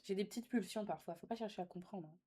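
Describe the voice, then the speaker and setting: A young woman talks casually to her live stream audience at a middling pitch. She is sassy and teasing, uses plenty of slang, and moves between reading comments out and answering them directly. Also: fast, mellow, breathy edge.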